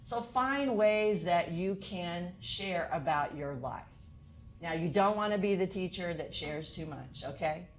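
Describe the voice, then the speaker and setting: A woman's voice: lowish voice, lively intonation, unhurried, a little hiss or hum. A woman college instructor lecturing to teachers in an earnest way, asking many rhetorical questions.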